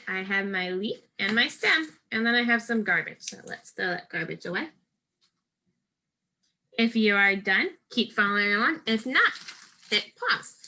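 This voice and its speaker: A woman's voice: fairly high pitch, pushed and bright. A woman teacher giving a step-by-step craft lesson to first graders, speaking directly to children in simple, patient instructions.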